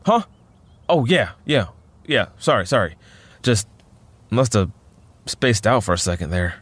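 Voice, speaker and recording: A man's voice: fairly low pitch, sing-song, a little slow, a bit bright, slightly rough, heavily acted. A man acting in an audio drama as a weary but wry young superhero student, talking hesitantly with self-deprecating humour. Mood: weary, troubled.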